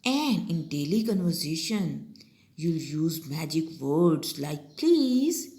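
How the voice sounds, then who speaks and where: A young woman teacher with a Pakistani English accent gives young pupils their summer tasks one step at a time. She speaks slowly and patiently. Her pitch swings up and down in a lively, sing-song way. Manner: slow, patient, step-by-step instruction.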